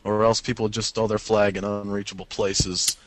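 A man's voice: lowish voice, flat delivery, brisk, dry recording. A man co-hosting a casual gaming show, reading out listener messages and joking around. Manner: jokey, often laughing through his words. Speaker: podcast co-host.

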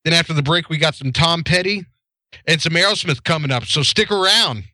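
Bright, jokey man on a stream. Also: fairly high pitch, a little fast, dry recording. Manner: laughs easily, jokey. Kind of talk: casual stream chat.

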